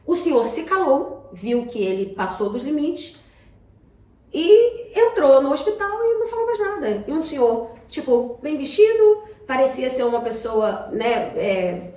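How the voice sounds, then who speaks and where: A Brazilian woman co-hosting a casual talk, sharing personal experience in a halting way with repeated words. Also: high-pitched, very animated, mellow. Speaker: talk show co-host. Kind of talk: casual conversation, personal anecdotes. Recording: echoey room.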